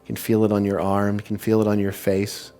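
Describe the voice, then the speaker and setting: Low-voiced man leading slow guided meditation. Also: completely flat delivery, dark tone, faint music behind. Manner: unhurried, soothing, measured phrases with long pauses. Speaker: meditation leader and spiritual speaker.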